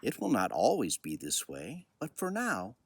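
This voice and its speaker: An older man with a husky voice, speaking expressively and without hurry like a children's author telling a story. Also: fairly low pitch, wildly swooping pitch, normal speed. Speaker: children's book author reading aloud. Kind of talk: personal commentary and storybook reading.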